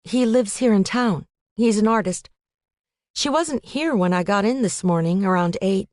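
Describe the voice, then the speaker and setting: A woman's voice: mid-range voice, normal speed, darkish tone, no room sound, clean studio sound, pitched above the performer's own voice, natural voice. A woman doing a worried young college roommate.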